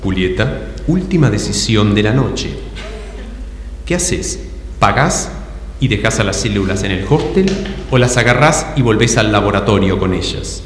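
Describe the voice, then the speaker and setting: Brief: male live-show host, Rioplatense accent, lowish pitch, announcer delivery, reverberant room. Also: animated, a little slow. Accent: Rioplatense Argentine. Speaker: host, narrator. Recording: echoey hall with faint noise.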